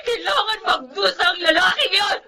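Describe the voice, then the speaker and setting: Shrill, tearful grieving mother. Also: animated, moderate pace, crowd noise. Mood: tearful outrage. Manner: tearful, wailing, pleading. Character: grieving, outraged mother of a murdered daughter. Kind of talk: Tagalog radio drama acting.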